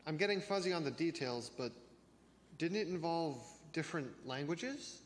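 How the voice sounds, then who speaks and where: A man playing a bookish youth, lightly high voice. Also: lively intonation, moderate pace, close to an everyday voice. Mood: bookish, measured. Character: bookish youth, a self-declared trivia champion. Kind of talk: youth church reader's theater. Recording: noisy reverberant hall.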